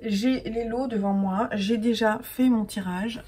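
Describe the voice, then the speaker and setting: A woman's voice: on the high side, steady tone, measured pace, warm tone, breathy edge. A woman in her thirties with a French accent, chatting casually to camera at home, a little stuffy from a cold and full of fillers and restarts. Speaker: podcaster and yarn dyer.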